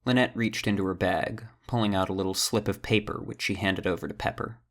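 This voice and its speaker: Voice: low voice, fairly even intonation, brisk. A narrator reading scripted narration for a fantasy audio drama.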